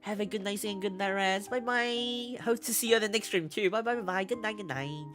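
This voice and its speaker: A woman streamer with a mixed English accent chatting casually as she answers viewer questions, giggly and halting, often repeating herself. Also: medium pitch, a bit bright. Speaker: VTuber streamer host. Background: background music.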